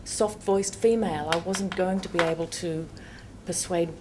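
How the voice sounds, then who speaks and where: Soft-voiced woman judge, measured. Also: lowish voice, fairly even intonation, noisy background. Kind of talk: panel discussion.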